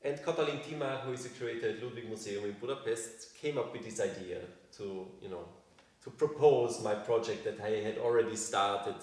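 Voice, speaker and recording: A man's voice: medium pitch, darkish tone. Male artist interviewee, 40s, Austrian accent, native German speaker. Thinks aloud, restarts often. Heavy room echo, set back from the mic. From Vienna, Austria. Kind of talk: reflective conversational interview. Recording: very echoey room, distant from microphone.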